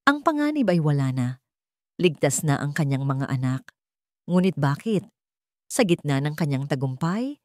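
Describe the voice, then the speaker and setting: Casting: Filipino woman, lower register, solemn and emotive dramatic story narrator, very dry close sound. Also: darkish tone, dry recording.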